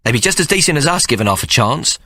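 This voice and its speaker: Dude voicing a strict mum, firm and emphatic, way higher pitch, lively. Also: male voice, quick pace, slightly rough, exaggerated voice.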